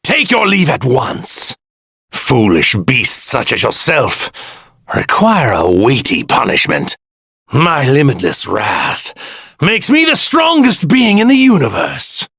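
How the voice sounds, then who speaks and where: Husky, pompous evil god, wildly animated. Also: male voice, medium pitch, slow pace, no echo, clean studio sound, full character voice. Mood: haughty contempt. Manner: pompous, theatrical, grandiose, menacing. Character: arrogant evil god in a stolen warrior body. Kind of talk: anime video game voice lines.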